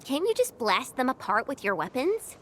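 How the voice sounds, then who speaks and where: Young female game sidekick: high, hugely animated, concerned and eager. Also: moderate pace, a little hiss or hum. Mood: puzzled. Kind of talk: video game voice acting.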